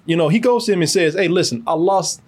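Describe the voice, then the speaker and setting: A man's voice: high-pitched, fast talker, a little mellow, husky. A man hosting a casual, profane film review podcast, telling it emphatically with lots of repetition and free cursing.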